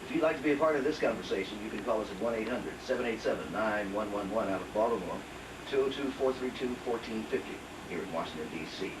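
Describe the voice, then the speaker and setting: A man hosting a radio talk show, measured, introducing his guest and reading call-in details. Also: fairly low pitch, lively intonation, recorded from a distance, noisy background. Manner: measured, reads call-in numbers and station lines.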